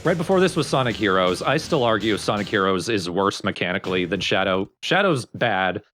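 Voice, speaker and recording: A man's voice: on the low side, animated, a little fast, fairly bright tone, soft background music. A man doing casual, analytical and opinionated commentary for a video game let's play.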